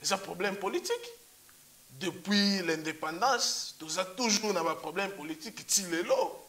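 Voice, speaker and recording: A man's voice: sits high, lively intonation, normal speed, pushed and bright. A man making emphatic points in a political discussion, repeating rhetorical questions.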